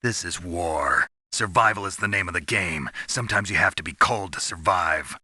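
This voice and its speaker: A man playing a gruff, hard-bitten covert soldier in a video game, gravelly and terse, coldly pragmatic. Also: low-pitched, moderate pace, bright, forceful tone, no room sound, studio quality, heavily acted. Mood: grim, cold. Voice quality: gravelly, husky. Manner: terse, guarded.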